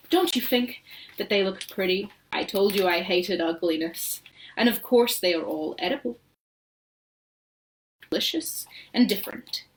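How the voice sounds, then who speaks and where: A woman's voice: medium pitch, far from the mic, natural voice. A woman voicing an eccentric, boastful male chocolate maker, proud and showy in manner.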